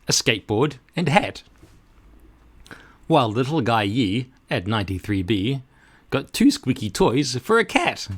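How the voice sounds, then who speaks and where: A man reading a rhyming children's story aloud in an expressive, rhythmic, dramatic way. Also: medium pitch, a little slow, pauses often.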